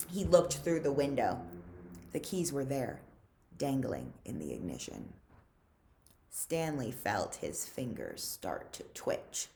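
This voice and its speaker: A woman reading a children's novel aloud as a librarian would, with measured, dramatic emphasis. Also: very deep voice, darkish tone. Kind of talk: expressive storybook read-aloud.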